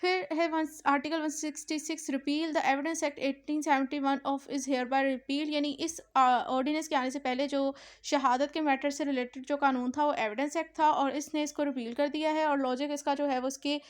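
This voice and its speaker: A woman's voice: high voice, little pitch movement, moderate pace, few pauses. A woman with a Pakistani accent lecturing on law, explaining step by step and mixing in English legal terms as she goes. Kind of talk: explanatory lecture, reading statutes then explaining.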